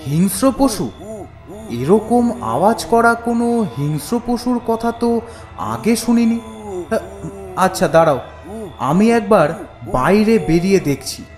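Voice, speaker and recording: A man's voice: high voice, big pitch swings, slow, long pauses, a little mellow, soft background music, pitched above the performer's own voice, heavily acted. Man playing bold, defiant young village son. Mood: resolute. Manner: bold, resolute. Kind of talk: animated horror cartoon acting.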